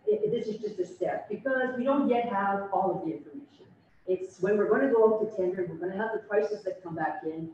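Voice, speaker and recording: A woman chairing a council meeting, giving semi-formal remarks in a deliberate, halting, reflective way through a distant conference microphone. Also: medium pitch, dark tone. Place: large indoor council meeting room. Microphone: distant conference camera microphone on video call. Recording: distant, echoey room, dull sound, faint noise.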